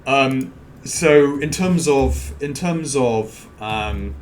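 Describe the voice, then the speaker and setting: Loud-voiced man, rambling stream chat. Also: medium pitch, expressive, slight background noise. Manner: rambling, halting restarts, reads out chat comments. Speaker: co-host of a live Q&A stream. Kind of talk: casual live-stream chat.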